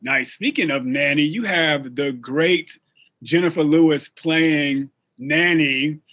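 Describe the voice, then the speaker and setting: A man hosting a conversational interview, phrasing his questions in a halting, searching way. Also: a little high, fairly bright tone. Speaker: talk show host, interviewer.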